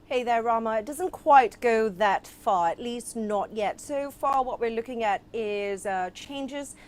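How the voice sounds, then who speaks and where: A woman's voice: fairly high pitch, unhurried. A woman delivering broadcast business news as a reporter, in a polished, emphatic manner. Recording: music under voice, some location noise.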